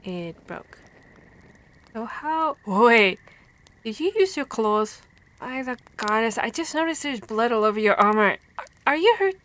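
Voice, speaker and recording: A woman playing a female vampire hunter who scolds and cares for her comrade, in an expressive, stammering ASMR roleplay. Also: on the high side, unhurried. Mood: shocked, worried.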